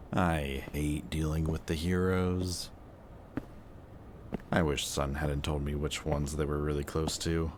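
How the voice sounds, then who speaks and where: A man playing a sardonic, gruff but protective antihero villain in an intense, emotional audio roleplay. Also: very deep voice, background noise, exaggerated voice. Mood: grudging, weary.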